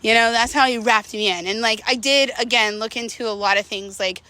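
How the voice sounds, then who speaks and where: A woman's voice: fairly high pitch, brisk, pushed and bright, dry recording, low-quality recording. A woman talking casually and candidly to viewers as a vlogger while walking, slightly out of breath, with self-mocking asides and rhetorical questions. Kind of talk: casual confessional chat to viewers.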